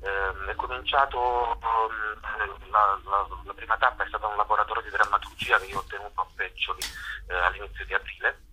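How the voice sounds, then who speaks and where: A man answering as a guest in a radio interview, hesitant and halting as he searches for words. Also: lowish voice, steady tone, sharp tone, a little hiss or hum. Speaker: interview guest, theatre artist and workshop teacher. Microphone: telephone. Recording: dull telephone line on radio.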